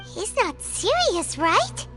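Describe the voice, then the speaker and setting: A woman voicing a tiny, chirpy, excitable female companion in video game dialogue. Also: extremely high pitch, slow pace, full character voice. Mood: doubtful. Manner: excitable, exclamatory. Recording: game audio with loud music.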